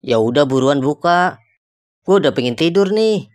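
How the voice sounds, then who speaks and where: A man's voice: fairly high pitch, animated, normal speed, natural voice. A man voicing a grumbling, impatient young travel buddy in a cartoon, with blunt, slangy Jakarta Indonesian. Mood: tired, impatient. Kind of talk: colloquial cartoon dialogue.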